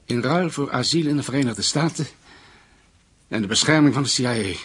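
An actor playing an anxious, cornered German ex-SS husband who bargains, in a hoarse, gravelly voice, in a radio drama. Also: male voice, medium pitch, expressive, a little fast, fairly bright tone. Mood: tense, bargaining. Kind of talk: Dutch radio drama acting.

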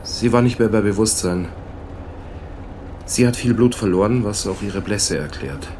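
Actor as a low-voiced, probing police lieutenant. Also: male voice, animated, normal speed, a little mellow, a little hiss or hum, natural voice. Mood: sober, factual. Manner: matter-of-fact, questioning. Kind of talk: German radio play acting.

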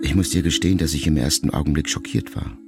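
Brooding middle-aged son, deep voice, measured confessional monologue, radio drama, music underneath. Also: male voice, vocal fry. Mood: hurt, confessional. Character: reflective grown son, a famous writer, addressing his dying mother. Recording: music behind the voice.

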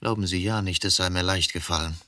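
A man's voice: low voice, fairly even intonation, quick pace, bright, forceful tone. Brooding, bitter middle-aged man. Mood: weary, evasive. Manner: evasive, philosophizing, bitter. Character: brooding middle-aged journalist confessing to killing his wife. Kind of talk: radio drama acting.

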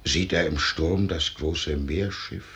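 A man's voice: a bass voice, fairly even intonation, slow pace, fairly bright tone, full character voice. An older man playing a cautious, loyal fortress commander in classical radio drama, sober and deferential. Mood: gently cautioning.

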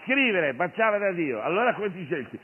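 A man's voice: high-pitched, sing-song, normal speed, slightly rough, voice pushed up, exaggerated voice. A man playing an irreverent, streetwise Jesus, commanding and jocular in a colloquial comic stage monologue. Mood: excited, bossy. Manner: commanding, colloquial, mock-preaching. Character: irreverent streetwise Jesus, bossy, jocular master miracle-worker. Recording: phone-like radio broadcast of stage show.

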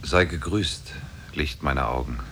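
An actor playing a mighty demon in love, courtly and adoring, in a 1950s German radio play. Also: male voice, unhurried. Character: mighty lovestruck male demon, courting a captive beauty. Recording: old radio tape, rumbling noise.

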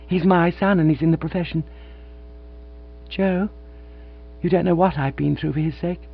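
A man's voice: on the high side, voice pushed up. A man voicing a middle-aged woman, a former actress, pleading and tremulous, in a storybook reading. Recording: dull lo-fi with mains hum.

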